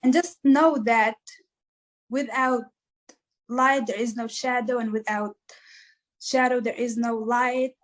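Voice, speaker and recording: High-voiced woman giving earnest advice. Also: steady tone, takes it slowly, long pauses, rough recording. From East Africa. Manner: earnest, motivational, frequent "like" fillers. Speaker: musician guest. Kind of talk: casual interview answers.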